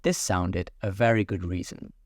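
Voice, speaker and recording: A man reading a bedtime story in a calm, soothing, expressive way. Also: low-pitched, normal speed, no room sound, studio quality. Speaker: narrator.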